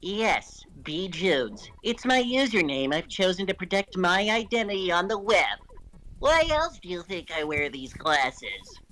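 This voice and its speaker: A man's voice: sits high, big pitch swings, measured pace, bright, forceful tone, faint music behind, exaggerated voice. A man voicing a smug, bratty young turtle prince who hosts a web show, in a theatrical, pompous, emphatic cartoon manner. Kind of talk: cartoon voice acting in a fan story reading.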